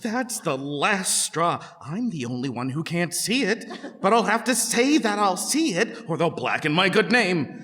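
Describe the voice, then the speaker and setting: A man's voice: high-pitched, big pitch swings, a little slow, fairly bright tone, exaggerated voice. A man playing an anxious, vain townsman in a comic stage play, with theatrical asides. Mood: exasperated. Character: anxious, vain townsman secretly doubting what he sees. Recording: reverberant hall.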